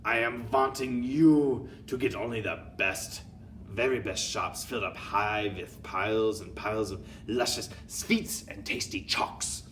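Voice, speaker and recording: A man's voice: lowish voice, unhurried, fairly bright tone, far from the mic, a little hiss or hum. A man voicing a tyrannical witch queen, thundering and menacing with odd foreign phrasing. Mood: commanding.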